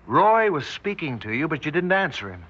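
An actor playing a shaken, anxious scientist recounting a horror in urgent, halting 1960s radio drama style. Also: male voice, on the high side, big pitch swings, husky. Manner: urgent, haunted, halting delivery. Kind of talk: 1960s radio drama acting, narration and dialogue. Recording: dull vintage 1960s radio broadcast.

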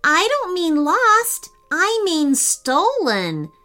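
A woman voicing an excitable, insistent little boy in a children's story, speaking emphatically. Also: very high-pitched, unhurried, soft background music, voice pushed up, exaggerated voice.

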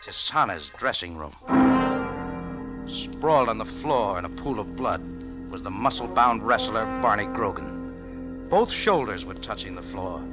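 An actor in a 1940s radio drama playing a tough, wry, unruffled cafe owner who narrates in a clipped, hard-boiled manner, on an old radio recording. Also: male voice, on the low side, big pitch swings, measured pace, loud music behind the voice, low-quality recording. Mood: hard-boiled, narrating.

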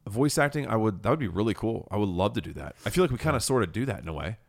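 A young man co-hosting a podcast, telling stories in a relaxed, rambling way with a deep, gravelly voice. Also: rapid-fire. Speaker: podcast co-host. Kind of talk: casual chat.

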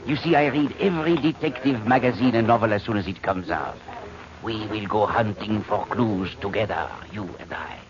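An actor playing an eager, fussy restaurant manager with formal, melodramatic phrasing in an old-time radio mystery. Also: male voice, on the low side, moderate pace, crowd noise, natural voice. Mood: eager, excited. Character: eager, fussy male restaurant manager, detective-story fan, excitable. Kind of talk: 1940s radio drama acting. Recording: dull old radio recording.

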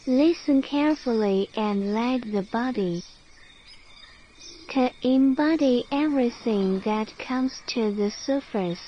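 A woman narrating a guided sleep meditation in short phrases with long pauses between them. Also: fairly high pitch, lo-fi sound, slight background noise.

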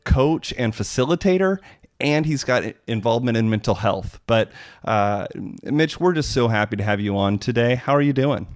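A man's voice: medium pitch, expressive, moderate pace, dry recording, studio quality. A man hosting a podcast, friendly and eager, with some hesitations as he asks his guest questions. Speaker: podcast host. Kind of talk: casual interview.

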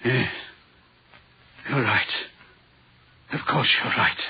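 An actor playing a haunted, guilt-ridden railway signalman in a 1940s radio horror drama, speaking haltingly and anxiously. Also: medium pitch, breathy edge, exaggerated voice. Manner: halting, anguished, repeats himself. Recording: muffled, worn 1940s radio recording.

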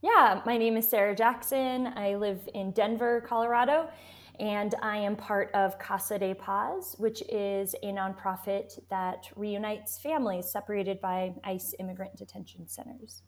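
A woman's voice: mid-range voice, steady tone, unhurried. A woman who grew up in Texas, a nonprofit director, talking in a friendly, chatty way as a podcast guest. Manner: friendly, chatty, laughs easily. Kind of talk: casual interview talk.

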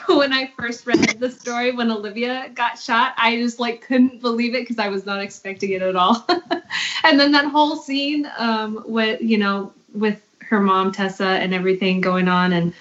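A woman's voice: fairly high pitch, steady tone, normal speed, a bit bright, lo-fi sound. A woman, a voice actor, chatting casually in a panel discussion with a hesitant, filler-sprinkled delivery. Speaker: voice actor discussing an audio drama.

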